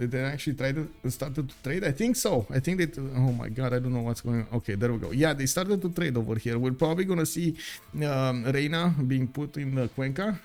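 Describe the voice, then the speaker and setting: Young male live caster, native Romanian speaker, filler-heavy and upbeat, talks to chat, medium pitch. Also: darkish tone. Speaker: game caster. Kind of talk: live esports commentary.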